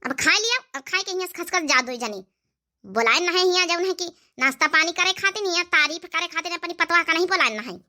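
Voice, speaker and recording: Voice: very high-pitched, lively intonation, fairly quick, bright, forceful tone, exaggerated voice. A performer voicing a bossy, scolding older village mother-in-law in an Awadhi comedy cartoon, domineering and sarcastic. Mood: scornful, nagging. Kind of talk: rustic comedy cartoon dialogue.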